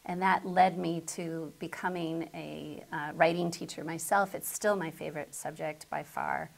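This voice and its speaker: A middle-aged woman with an American accent answers as an interview guest. Her voice sits a little low and has a clear creak of vocal fry. She talks conversationally, as a school principal. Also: fairly even intonation, moderate pace. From southern Connecticut, United States.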